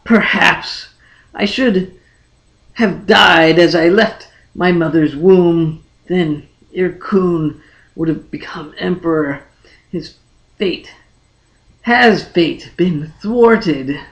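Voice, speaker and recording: A man's voice: sits high, drawn-out words, fairly bright tone, heavily acted, low-quality recording. A man voicing a brooding, melancholy young emperor in a fantasy audiobook, grave, formal and fatalistic. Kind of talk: dramatic fantasy audiobook character reading.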